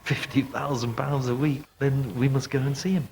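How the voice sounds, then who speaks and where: Creaky-voiced man telling anecdotes. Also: mid-range voice, fairly even intonation, moderate pace, darkish tone, a little hiss or hum. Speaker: interviewee, pantomime booker. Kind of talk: anecdotal interview talk. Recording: VHS transfer with faint music.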